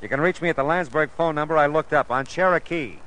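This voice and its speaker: Fast-talking tough detective played by a man. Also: fairly high pitch, loud music behind the voice, close to an everyday voice, low-quality recording. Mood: businesslike. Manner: clipped, wisecracking, world-weary. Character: tough, wry private detective, protective man. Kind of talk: old-time radio drama acting. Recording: hissy vintage radio recording.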